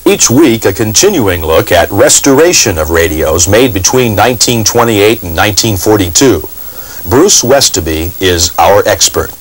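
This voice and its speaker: American man narrating, fairly low voice. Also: expressive, moderate pace, poor audio. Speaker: TV program host, radio collector. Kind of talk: scripted documentary narration.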